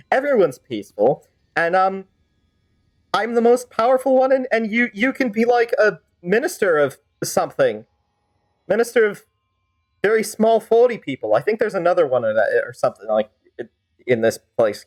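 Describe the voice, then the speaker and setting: A man's voice: high-pitched, big pitch swings, moderate pace. A man livestreaming a game, talking in a casual, rambling, chatty way and slipping into playful role-play. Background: game music.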